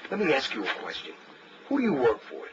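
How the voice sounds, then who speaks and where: An actor playing a hostile, defensive husband in an audio drama, halting and confrontational. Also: male voice, medium pitch, wildly swooping pitch. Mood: agitated, bitter. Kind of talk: naturalistic audio drama acting. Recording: dull field recorder tape, faint noise.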